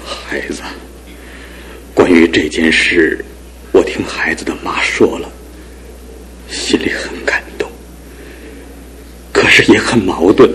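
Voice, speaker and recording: A man's voice: sits low, slow, long pauses, fairly bright tone, slight background noise, poor audio. An actor playing a kindly, worried older father in a studio radio drama, speaking in a fatherly, pleading way. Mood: concerned. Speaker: fiancé's father.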